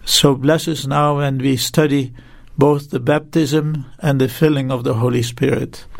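A man from the Netherlands, a theology professor, speaking carefully and deliberately as an interview guest. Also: mid-range voice, flat delivery, gravelly, no room sound, studio quality. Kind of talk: interview and prayer.

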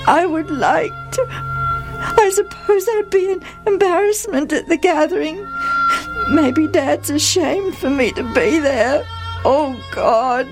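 A wounded young boy in a storybook tale, acted out by a woman storyteller. His voice is high and expressive, with a plaintive edge and a stubborn streak. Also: takes it slowly, over music, full character voice, poor audio. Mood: forlorn pleading.

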